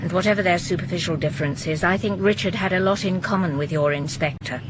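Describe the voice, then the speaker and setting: An actor playing a composed, perceptive widow of a policeman, measured and quietly candid. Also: on the low side, noisy background, close to an everyday voice. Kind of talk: radio drama acting.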